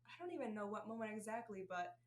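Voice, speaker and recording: A woman's voice: mid-range voice, expressive, fast, slight background noise. A young woman with a Puerto Rican accent, chatting casually as a guest on a podcast, laughing often and using many fillers. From Puerto Rico. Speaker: guest counselor. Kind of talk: casual chat.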